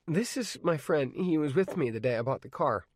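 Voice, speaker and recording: A man's voice: fairly high pitch, expressive, normal speed, no room sound, clean studio sound, voice pushed up. A man voicing a polite, stubborn teenage boy who pleads insistently.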